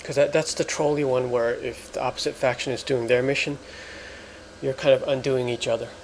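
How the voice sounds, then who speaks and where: A man hosting a let's play, explaining things in casual, halting gameplay commentary. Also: medium pitch, fairly even intonation, slight background noise, poor audio. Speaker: gamer, let's play host.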